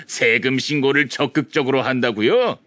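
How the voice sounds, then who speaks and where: An actor playing an excitable, scheming shopkeeper in a video game, with an exaggerated, theatrical delivery that swings between fawning and indignant. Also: male voice, mid-range voice, slow, exaggerated voice. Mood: indignant, envious. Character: grudge-bearing male shopkeeper, excitable, scheming, envious of rival. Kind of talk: animated video game voice acting.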